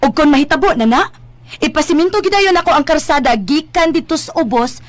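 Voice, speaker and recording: An actress with a Cebuano Filipino accent playing an ambitious, playful young daughter in a radio drama, chatty, teasing and insistent. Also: female voice, high voice, fairly quick, dry recording, lightly acted. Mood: earnest, confident.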